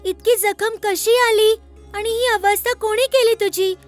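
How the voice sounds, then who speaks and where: Very high-pitched bold little boy god. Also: fairly even intonation, unhurried, soft background music. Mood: alarmed, indignant. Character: child boy god Ganesha, bold, hot-headed, devoted son. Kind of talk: animated children's story dubbing in Marathi.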